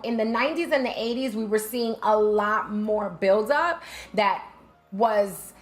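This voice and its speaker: A woman from the United States hosting a show, talking casually and chattily, with frequent fillers and restarts, and putting rhetorical questions to her listeners. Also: fairly high pitch. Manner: frequent fillers and self-corrections. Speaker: host. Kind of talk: casual talk-show monologue.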